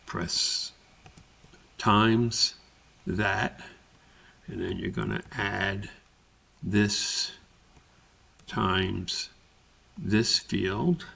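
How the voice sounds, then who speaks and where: A man narrating a spreadsheet tutorial as an instructor, explaining step by step in a halting way. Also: on the low side, creaky, pushed and bright, husky.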